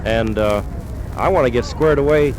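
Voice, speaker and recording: An American man doing a chatty, folksy on-location radio interview in 1950s style, with hesitant pauses. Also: medium pitch. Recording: noisy 1950s transcription disc recording.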